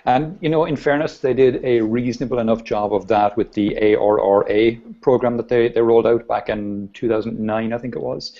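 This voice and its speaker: A man hosting a casual video call show, talking in an easygoing, halting way with many restarts. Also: mid-range voice, fairly even intonation. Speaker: host. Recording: dull lo-fi video call audio.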